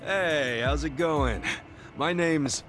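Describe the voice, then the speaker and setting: A man's voice: a little high, takes it slowly, music in the background. An actor playing a friendly, eager and awkwardly smitten man in a video game dub. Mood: eager, flustered.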